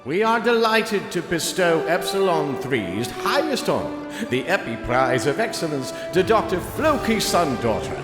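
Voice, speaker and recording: Pompous male ceremony host: animated, higher-pitched, effusive speech echoing through a hall over music. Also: unhurried. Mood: grandly effusive. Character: grandiose male presenter bestowing a science prize. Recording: echoey hall with music behind.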